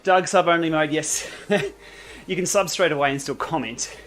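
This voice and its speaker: A man's voice: on the high side, moderate pace, fairly bright tone. A man hosting a live indoor cycling stream, chatting casually and answering viewer comments as he rides. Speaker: livestream host. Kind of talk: casual live chat while riding. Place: indoor training room.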